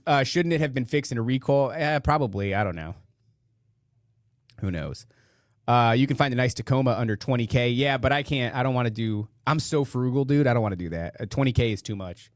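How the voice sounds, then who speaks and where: A man with a rough voice hosting a podcast, chatting casually in rambling, slangy, profane talk to a live audience online. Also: mid-range voice, lively intonation, fairly quick, dry recording, clean studio sound. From northeastern United States. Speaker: podcast host. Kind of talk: casual live chat, reading listener comments.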